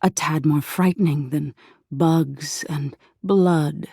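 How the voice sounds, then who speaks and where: A low-voiced living nightmare from a video game, sinister and seductive. She draws her words out at an unhurried pace and toys with the listener in playful menace. Her dark, husky tone often sinks into breathy whispers. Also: dry recording, studio quality, exaggerated voice. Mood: sinister, coaxing. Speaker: video game character voice actor. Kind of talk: theatrical video game voice acting.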